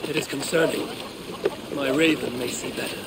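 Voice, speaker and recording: A man's voice: mid-range voice, steady tone, moderate pace, vocal fry, heavy background noise, close to an everyday voice. An actor playing a grave, watchful Viking warrior in a video game, terse and pondering in his delivery. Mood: concerned, resolute.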